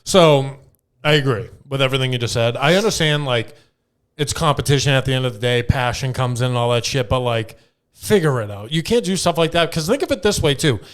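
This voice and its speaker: A man's voice: medium pitch, fairly quick, clean tone. A man co-hosting a podcast, chatting casually and bluntly with plenty of profanity as he steers the topics. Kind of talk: casual irreverent podcast chat.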